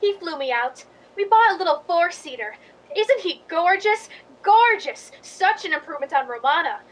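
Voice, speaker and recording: A woman's voice: very high-pitched, expressive, normal speed. A woman playing a spoiled, gushing Hollywood movie queen in a radio drama, with a theatrical, affected manner. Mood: breezy, showy.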